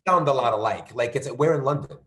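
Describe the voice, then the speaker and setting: A man's voice: mid-range voice, brisk, a bit bright. A man from New York chatting casually as an actor guest, rambling with lots of fillers and restarts. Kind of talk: casual conversation. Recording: echoey room.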